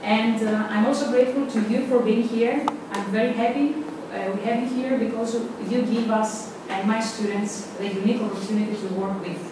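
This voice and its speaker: A woman's voice: fairly high pitch, fairly even intonation, brisk, few pauses. A woman lecturer introducing herself informally to a group. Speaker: university linguistics lecturer. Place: large echoing room, mic at a distance. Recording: very echoey room, distant mic, noisy.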